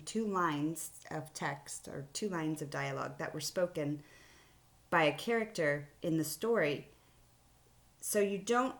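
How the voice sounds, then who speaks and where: A woman teacher giving a children's writing lesson in simple, explanatory phrases. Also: lowish voice. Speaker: elementary school teacher. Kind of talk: read-aloud writing mini-lesson for children.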